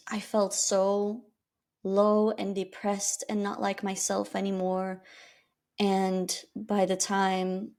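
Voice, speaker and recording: Candid Flemish-accented woman podcaster. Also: medium pitch. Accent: Flemish Belgian. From Belgium. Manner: candid and reflective, with lively rises. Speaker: podcast host. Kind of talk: personal storytelling monologue.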